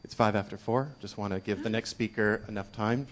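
A man's voice: lowish voice, fairly even intonation, fairly quick, a little hiss or hum. A middle-aged man chairing a conference session, introducing the next speaker into a handheld microphone in a conference room. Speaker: session moderator. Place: conference room, seated at a table.

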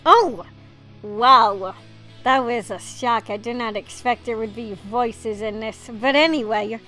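A woman's voice: a little high, slow pace, pushed and bright, faint music behind. A woman hosting a let's play, reading a visual novel aloud and chatting casually with asides on the script.

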